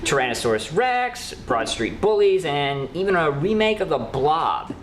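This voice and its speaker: Man, lively swooping intonation, casual news co-host, playfully opinionated, roomy echo. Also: a little high, a little slow. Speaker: co-host of a horror news show. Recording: roomy echo with faint noise.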